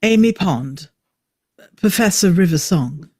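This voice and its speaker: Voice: mid-range voice, animated, slow pace, darkish tone, no room sound, clean studio sound. An actor playing an exasperated, guarded male time traveller in a script table read, with a curt delivery. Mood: irritated.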